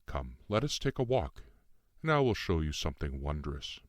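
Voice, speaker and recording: A man voicing a calm, calculating otherworldly being in a formal, precise, didactic way for an audiobook. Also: very deep voice, normal speed, no echo, clean studio sound. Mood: persuasive. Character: Lucien, calm calculating otherworldly being in young man's form. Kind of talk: audiobook dialogue.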